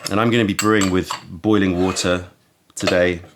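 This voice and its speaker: A man hosting a casual tea tasting, his voice croaky from having just woken up. He talks slowly and musingly, often stopping to search for the right word. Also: low voice, fairly even intonation, background noise. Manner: slow, musing, searching for words. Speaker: tea shop host.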